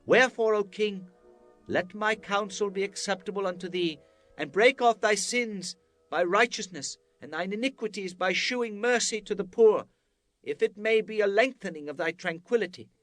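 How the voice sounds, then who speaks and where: An actor playing an earnest, respectful prophet in a dramatized scripture reading, speaking with solemn, deferential oratory. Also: male voice, sits high, normal speed, a bit bright, faint music behind. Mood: grave, pleading. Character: earnest, respectful prophet counseling his king.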